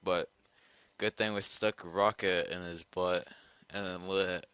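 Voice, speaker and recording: A man chatting casually and making a prank phone call in a deadpan, slangy manner. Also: low voice, unhurried, smooth, no room sound. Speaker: prank caller.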